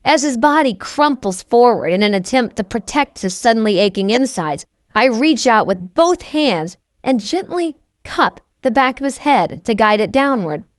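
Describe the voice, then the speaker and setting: A woman's voice: a little high, big pitch swings, moderate pace, few pauses, no room sound, studio quality. A woman reading first-person scripted novel narration as an audiobook narrator.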